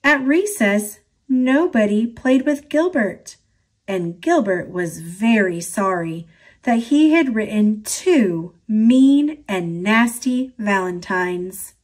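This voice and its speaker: A woman reading a children's picture book aloud in a gentle, expressive manner. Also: medium pitch, slow pace. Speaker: storybook reader.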